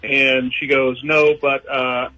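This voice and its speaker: A man with an American accent calling a radio show, telling his story casually and haltingly. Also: medium pitch, little pitch movement, pushed and bright, low-quality recording. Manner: hesitant, halting. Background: music bed.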